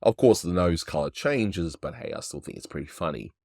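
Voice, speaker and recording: A man hosting a casual cartoon review, talking in a relaxed, offhand way and chuckling often. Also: deep voice, brisk, gravelly, dry recording, clean studio sound. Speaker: animation reviewer, host.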